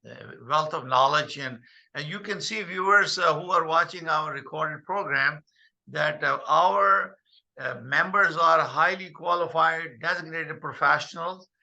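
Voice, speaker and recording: Gravelly bright-voiced older man hosting a call. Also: a little high, lo-fi sound. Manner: halting, many fillers. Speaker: host. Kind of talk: video call meeting host. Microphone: video call.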